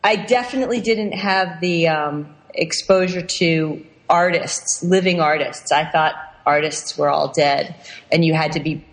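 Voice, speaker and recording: A woman's voice: low voice, unhurried. Chatty woman in a reverberant space. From Pennsylvania, US. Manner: chatty, restarts and trails off often, laughs easily. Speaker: podcast guest, workshop founder. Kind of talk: casual interview chat. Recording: long reverb on voice.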